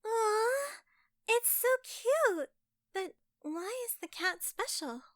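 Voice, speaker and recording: A woman's voice: extremely high pitch, very animated, takes it slowly, a bit bright, heavily acted. A woman playing an innocent, curious young gamer in a fantasy audiobook, with a sweet, inquisitive manner. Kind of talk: audiobook character acting. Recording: clear background noise and ambience.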